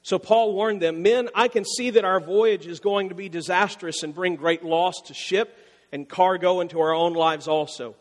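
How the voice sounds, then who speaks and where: A high-pitched man preaching from a church pulpit, speaking conversationally and circling back to repeat key phrases. His voice rises for emphasis, and a long reverb from the large hall trails behind each line. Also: steady tone, normal speed. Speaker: pastor. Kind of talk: sermon.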